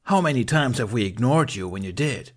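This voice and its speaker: A man's voice: medium pitch, expressive, fairly quick, close to an everyday voice. A man voicing a mischievous young female troublemaker in a storybook, flippant and teasing. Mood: snarky, teasing.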